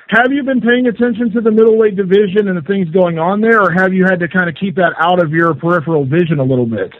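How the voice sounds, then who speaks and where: A male sports journalist putting long, conversational questions to a guest on a conference call. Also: sits high. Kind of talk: asking interview questions. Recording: narrow-band phone line.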